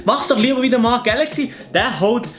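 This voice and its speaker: A man's voice: very high voice, fairly quick, a bit bright. A young man with a Fribourg Swiss German accent, hosting a comedy video and mocking other YouTubers in a sarcastic tone. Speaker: YouTuber host. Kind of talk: satirical commentary.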